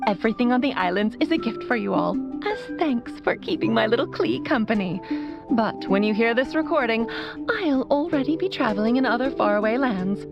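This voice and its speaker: A woman's voice: on the high side, animated, a little fast, creaky, highs cut off. A woman playing a theatrical, teasing, doting sorceress mother in a video game. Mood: cheerful, playful. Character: playful, theatrical, doting sorceress mother speaking through a phonograph. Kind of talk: animated video game dialogue. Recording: music behind the voice.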